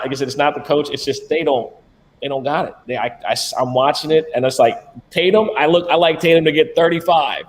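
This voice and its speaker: A man's voice: a little high, slight background noise. A man co-hosting a sports betting podcast, talking bluntly and with profanity in casual chat. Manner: blunt, profane, animated about basketball. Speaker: podcast co-host.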